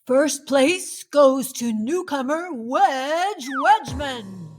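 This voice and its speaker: Casting: female reader as a grand storybook announcer, high and drawn-out, booming, with noise behind. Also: animated, full character voice. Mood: grand announcing. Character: thunderous, booming competition announcer.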